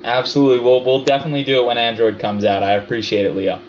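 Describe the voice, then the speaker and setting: A man's voice: mid-range voice, flat delivery, very fast. A man from Hawaii chatting casually as a podcast guest, upbeat and agreeable, over muffled remote-call audio. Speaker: podcast guest, app company CEO. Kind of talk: casual interview chat.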